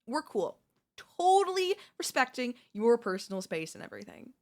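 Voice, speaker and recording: A woman's voice: fairly high pitch, very animated, normal speed. A woman playing a chatty, cheeky female zombie in a comedic audio roleplay, breezy and falsely friendly. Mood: nervous, placating. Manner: breezy coaxing, falsely friendly, awkward backpedalling.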